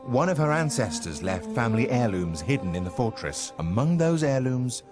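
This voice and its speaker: A man's voice: mid-range voice, very animated, normal speed, over music, close to an everyday voice. A man voicing an earnest, courteous adventurer in a video game, speaking politely and formally. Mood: earnest, respectful. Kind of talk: video game cutscene acting.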